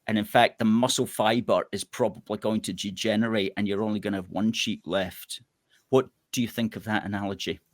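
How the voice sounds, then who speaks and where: A man's voice: lowish voice, fairly even intonation, brisk, no echo, studio quality. A man interviewing a guest, giving strong opinions through long, rambling analogies. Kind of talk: political interview.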